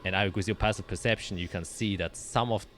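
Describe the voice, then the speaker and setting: A man narrating a tabletop roleplaying game as game master, with descriptive, dramatic storytelling and deliberate pauses. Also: fairly low pitch, steady tone, fairly bright tone, slight background noise.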